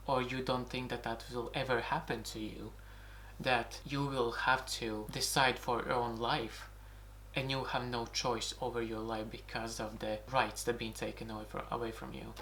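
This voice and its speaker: A man's voice: medium pitch, fairly bright tone, a little hiss or hum. A man from Latvia with a Latvian accent, talking earnestly and indignantly in an opinion monologue to camera. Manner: earnest, indignant, repeats "crazy" and "mad". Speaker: video blogger.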